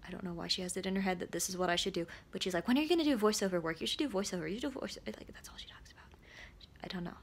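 A young woman with a creaky voice, chatting casually and candidly to camera in a rambling vlog, recorded at arm's length in a small room. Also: medium pitch, animated, rapid-fire. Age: young adult. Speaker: vlogger. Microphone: phone or camera at arm's length.

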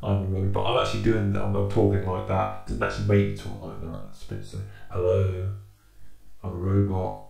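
A man voicing a flat, monotone robot through an echoing robot filter. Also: a little fast, vocal fry. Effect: robot filter with pitch shift and echo. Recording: echoing voice under loud synth music.

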